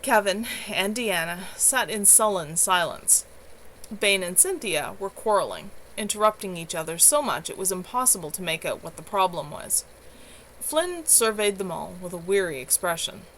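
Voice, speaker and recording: A woman's voice: fairly low pitch, expressive, moderate pace, fairly bright tone, low-quality recording. A woman narrating a novel aloud as its author, with an earnest, amateur storyteller delivery. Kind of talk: expressive audiobook-style narration. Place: at home, livestream. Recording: clear background noise, home livestream.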